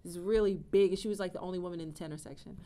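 A woman in her thirties, a stage actress and singer, chatting casually in an interview, low-voiced and often laughing. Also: very fast, noisy background. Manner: laughing often, animated with hand gestures. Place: indoor room with wooden shelves.

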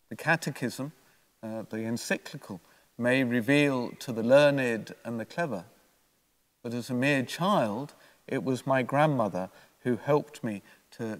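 Older priest in a reverberant church. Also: male voice, medium pitch. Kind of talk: homily, read from prepared notes. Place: church, at a lectern. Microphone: gooseneck lectern microphone.

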